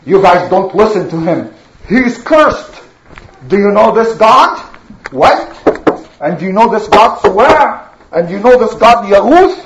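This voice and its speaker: A woman's voice: medium pitch, sing-song, measured pace. Woman acting a blustering, swooping male idol priest, echoey. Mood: boastful, indignant. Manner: loud, scornful, boastful. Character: indignant male idol servant, pompous blustering swindler. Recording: echoey room, dull sound, faint noise.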